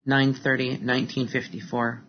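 Poet reading with little pitch variation. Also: level tone, moderate pace. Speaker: poet reading own work. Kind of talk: literary poetry reading. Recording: dull recording with faint noise.

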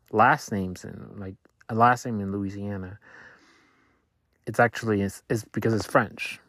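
A man in his thirties whose first language is Spanish, talking through his week in a thoughtful, halting way and often restarting his sentences. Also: mid-range voice, a bit bright, no echo, studio quality. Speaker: podcaster reflecting on his week. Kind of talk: casual reflective monologue. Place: Louisiana.

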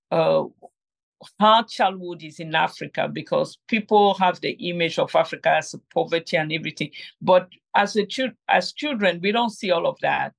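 Woman guest, middle-aged, gravelly tone, halting, lots of restarts. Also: fairly low pitch. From Cameroon. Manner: hesitant, many fillers and restarts. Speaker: guest, game inventor. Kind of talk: conversational interview.

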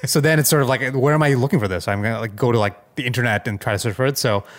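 Male co-host, mid-range pitch, casual and halting delivery, conversational with frequent check-in questions. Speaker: podcast co-host. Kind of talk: casual chat.

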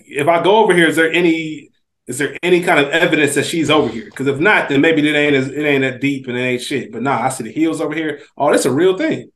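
A man's voice: on the high side, fast talker, fairly bright tone. A man co-hosting a podcast in casual chat, slangy and emphatic. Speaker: podcast co-host.

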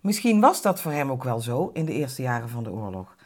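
A woman's voice: rumbling low, big pitch swings, brisk, a little mellow. A woman co-presenting, narrating and explaining in a conversational style.

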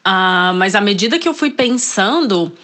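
A woman with a Northeastern Brazilian accent talks as a guest in a relaxed interview. She hesitates and restarts often, and pads her thoughts with small fillers. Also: medium pitch, moderate pace. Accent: Northeastern Brazilian Portuguese. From Ceará, Northeast Brazil. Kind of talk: casual interview, reading passages aloud.

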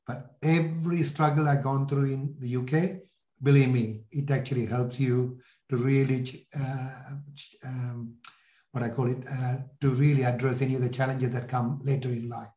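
A man in his sixties with a Sri Lankan accent, telling his life story in an interview in a halting, reflective way. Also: mid-range voice, flat delivery, mellow, reverberant. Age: 60s. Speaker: podcast guest, entrepreneur.

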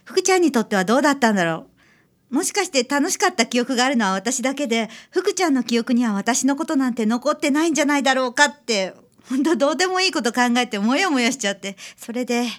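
A woman's voice: high voice, lively intonation, fast talker. A woman in a radio drama playing a polite, wistful young bride-to-be. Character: polite, wistful young bride-to-be, once a lonely transfer student. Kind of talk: scripted radio drama acting.

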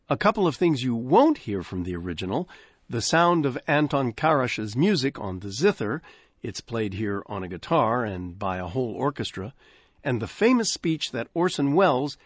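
A man introducing a vintage radio program from a studio, speaking in a measured, articulate way. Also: medium pitch, breathy edge, no echo. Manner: measured, articulate, lively delivery. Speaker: radio host. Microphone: close studio microphone. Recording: dry close studio sound.

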